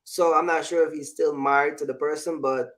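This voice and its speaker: A man's voice: fairly high pitch, fairly even intonation, brisk, fairly bright tone. A man hosting a casual, opinionated discussion, repeating phrases and often checking that he is understood. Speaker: show host.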